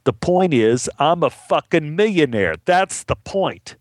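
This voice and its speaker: A man's voice: fairly low pitch, lively intonation, normal speed, dry recording, clean studio sound, lightly acted. A man voicing a boastful, menacing, thin-skinned gambler in a bar story, blunt and needling. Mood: indignant boasting.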